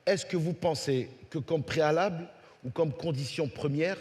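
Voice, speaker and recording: A man's voice: fairly high pitch, moderate pace, a little mellow. A man moderating a conference panel, asking long roundabout questions with many hesitations. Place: conference hall. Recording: reverberant conference hall.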